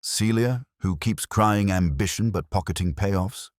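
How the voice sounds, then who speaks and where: A man's voice: lowish voice, measured pace, no echo, studio quality, pitched above the performer's own voice, lightly acted. A man voicing a streetwise detective's assistant with a sardonic, questioning manner, in an audiobook. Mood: sardonic, probing. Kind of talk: audiobook character dialogue.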